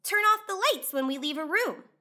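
A woman's voice: very high-pitched, expressive, moderate pace, bright, forceful tone, voice pushed up, exaggerated voice. A woman voicing an eager, earnest young animal pupil in a children's storybook. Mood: excited. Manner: eager, exclamatory.

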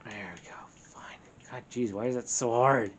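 A woman's voice: a bass voice, normal speed, slight background noise. A woman chatting casually while playing a video game, muttering in exasperation at it. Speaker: gamer, show co-host. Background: video game music.

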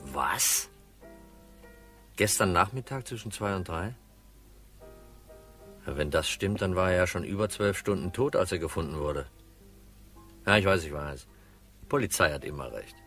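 Tough, wry insurance detective, deep, terse, sardonic, noir radio play with faint music. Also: male voice, fairly bright tone. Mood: wry, casual. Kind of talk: hardboiled radio play narration and dialogue.

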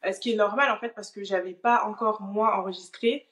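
A woman's voice: medium pitch, fairly even intonation, brisk, fairly bright tone. French woman in her thirties, interview guest on a video call, hesitant with fillers, roomy echo. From France. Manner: thoughtful, many fillers. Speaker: guest, online business manager. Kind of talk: casual interview answers.

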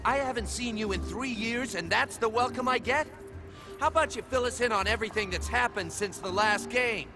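An actor playing a cocky, irritable young assassin in a video game, brash, slangy and sarcastic. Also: male voice, very high voice, fairly quick, music in the background. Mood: excited, brash. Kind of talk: animated video game acting.

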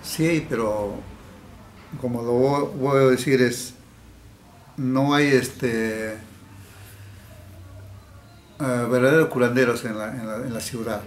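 Slow, halting man in echoey room. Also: mid-range voice, steady tone. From Peruvian Amazon. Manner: halting, with frequent restarts and repeated words. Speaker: healer interviewee. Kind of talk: interview answers. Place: indoor room. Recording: echoey room, faint background hiss.

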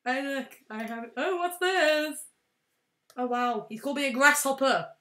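A young woman casually commentating a game, excitable and prone to shouting at wins and losses. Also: high voice, measured pace. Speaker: let's play host. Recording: roomy echo.

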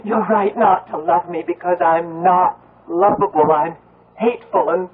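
A woman's voice: on the low side, a little slow, slightly rough. An actress playing an anguished young woman haunted by her mother in a radio drama. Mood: bitter confession. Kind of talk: 1970s radio drama acting. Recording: muffled, tinny old radio broadcast.

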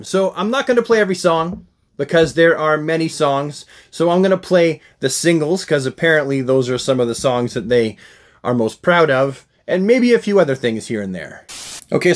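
Middle-aged male vlogger, slightly higher register, chatty and effusive reviewer with a joking streak. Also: lively intonation, moderate pace. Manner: jokey, effusive, repeats words for emphasis. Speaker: host of a comics collecting channel. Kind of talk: casual unboxing and review commentary to camera. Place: small indoor room packed with collectibles.

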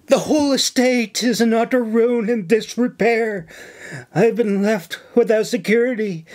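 A man's voice: high voice, darkish tone, pitched above the performer's own voice. A man voicing a tearful scheming young woman. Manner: sniffling, pleading.

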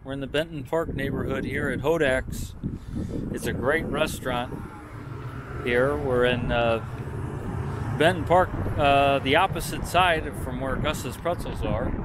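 A man casually narrating a walkaround and meal at a restaurant, talking slowly with fillers on a handheld camera. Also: mid-range voice, background noise. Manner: slow, easygoing, chatty with fillers. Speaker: vlogger. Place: street outside, then inside a restaurant.

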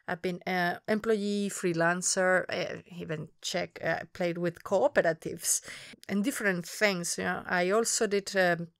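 Spanish-accented woman, smooth and thoughtful. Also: medium pitch, fairly bright tone, no echo, studio quality. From Spain. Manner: thoughtful, searching for words. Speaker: guest interviewer. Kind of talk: reflective conversational interview.

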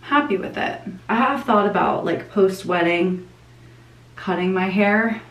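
A woman's voice: mid-range voice, measured pace, a bit bright, reverberant, lo-fi sound. A young woman in her twenties chatting casually to the camera in a vlog, full of "like" fillers, filmed at home and in her car. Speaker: vlogger. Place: small apartment bathroom and car.

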